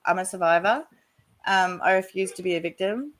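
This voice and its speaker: A woman with a stuffy voice from a cold, sharing casually in an online recovery meeting, halting and restarting often. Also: fairly low pitch. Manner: halting, many restarts, laughs between sentences. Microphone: video call.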